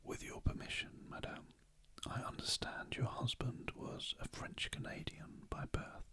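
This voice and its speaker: A narrator voicing a polite, probing male French magistrate from a detective storybook in a breathy, measured whisper. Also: bright, forceful tone. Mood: courteous.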